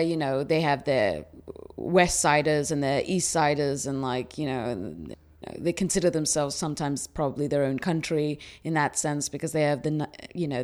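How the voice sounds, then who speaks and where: Low-voiced woman from Greece. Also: darkish tone. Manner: easygoing, talkative, rambling with "you know". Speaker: musician guest. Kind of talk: casual interview chat.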